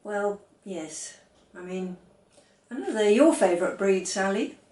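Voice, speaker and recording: A woman's voice: medium pitch, lively intonation, measured pace, dark tone, close to an everyday voice. A woman voicing a mild, hesitant dad, echoey room. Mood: sheepish. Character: fond father, mild, teasing and easygoing man.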